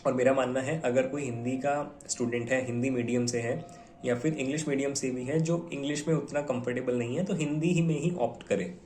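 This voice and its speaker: A young man speaks to viewers in a relaxed, conversational way, mixing Hindi with English words. His voice sits at an ordinary middle pitch. The room adds a noticeable echo, with faint background noise. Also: brisk. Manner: conversational, mixes Hindi with English words, fillers like "theek hai". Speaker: bank officer giving exam advice. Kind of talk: casual advice talk to viewers. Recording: echoey room.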